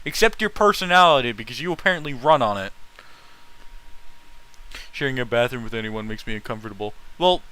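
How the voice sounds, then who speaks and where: A man's voice: medium pitch, expressive, fairly quick, long pauses, bright, forceful tone, smooth, low-quality recording. A man in casual, opinionated stream chat, talking bluntly and swearing freely.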